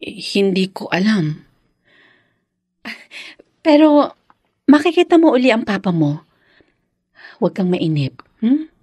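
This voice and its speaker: A woman playing a gentle, dutiful young mother in a radio drama, tender and respectful with a hesitant edge. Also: medium pitch, sing-song, measured pace, long pauses, husky, no room sound, clean studio sound. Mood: tender, evasive. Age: young adult.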